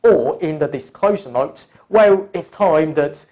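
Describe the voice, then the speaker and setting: Mellow-voiced man teaching an accounting course. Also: on the high side, expressive. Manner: deliberate, instructional, often addressing the learner. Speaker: accounting exam course tutor. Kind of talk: explanatory lecture, talking through examples.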